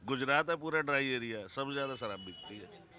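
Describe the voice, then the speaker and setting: Forceful, bright-voiced man of sixty-five. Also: mid-range voice, animated, brisk. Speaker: member of the public. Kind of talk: casual street opinion. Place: outdoors on a street.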